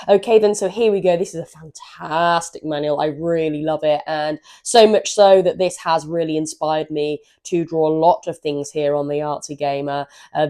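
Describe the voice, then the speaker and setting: Hoarse low-voiced woman, casual gaming chat. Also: warm tone. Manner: rambling, upbeat, loose, stumbles over words. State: hoarse with a cold. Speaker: gaming channel host. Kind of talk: casual chatty walkthrough of a game manual.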